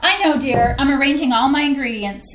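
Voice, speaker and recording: A woman playing an excitable, fussy Midwestern housewife and mother in a staged play reading. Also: sits high, fairly quick, echoey room, background noise, close to an everyday voice. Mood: pleading. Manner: flustered, fussy and eager. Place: theatre stage.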